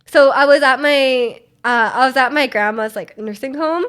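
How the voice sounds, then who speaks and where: A woman's voice: high voice, normal speed, fairly bright tone, clean tone. A young Canadian woman streaming, chatting casually with viewers in a rambling, giggly way full of fillers and restarts. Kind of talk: casual chat.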